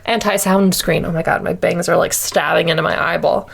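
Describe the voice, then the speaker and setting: A woman's voice: on the low side, fairly even intonation, a little fast, vocal fry, husky. A woman co-hosting a podcast, chatting informally in a jokey, self-deprecating way. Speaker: podcast co-host. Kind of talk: casual chat. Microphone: shared USB podcast microphone.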